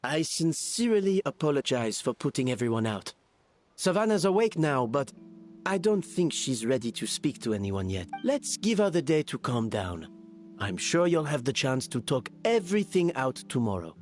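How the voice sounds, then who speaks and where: An actor playing a courteous, apologetic man in a video game, speaking politely, gently and formally. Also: mid-range voice, big pitch swings, moderate pace, soft background music, lightly acted. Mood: apologetic, calm. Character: courteous, apologetic man calming a tense situation. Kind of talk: scripted video game voice acting.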